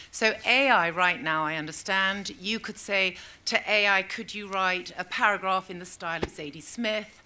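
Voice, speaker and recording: A woman in the audience projects her question loudly without a microphone. Her voice is bright and sharp. Faint noise sits around her. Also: mid-range voice. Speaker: audience member. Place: large marquee.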